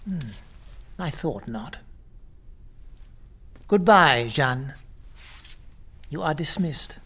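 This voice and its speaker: A man acting in an audio drama as a stern older archbishop, measured, formal and patronising. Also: fairly high pitch, animated, bright, forceful tone, full character voice. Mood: cold, dismissive.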